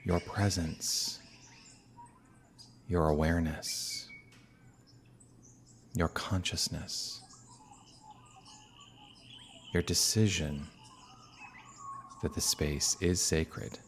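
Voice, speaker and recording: Very deep male voice, American accent, calm minister, unhurried meditation guidance, long pauses, birdsong and ambient noise behind. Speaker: minister leading guided meditation. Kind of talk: slow guided meditation. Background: birds chirping.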